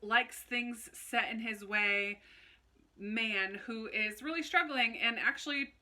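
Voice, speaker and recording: A woman chatting casually to camera like a book vlogger, in an animated, informal way with little self-interrupting asides. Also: fairly high pitch, takes it slowly. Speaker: book review video host. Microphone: phone camera recording.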